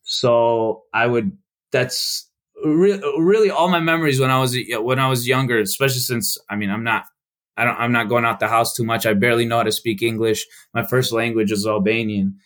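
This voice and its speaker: A young man from Chicago, a podcast guest, telling stories in a casual, halting way with many restarts and fillers. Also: medium pitch, a bit bright. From United States.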